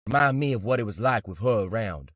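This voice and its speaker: A man's voice: lowish voice, expressive, fast, dry recording, clean studio sound. An actor playing a weary, widowed old retired salesman, mild and plainspoken, in a comic dub. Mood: wistful. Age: older. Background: loud background music.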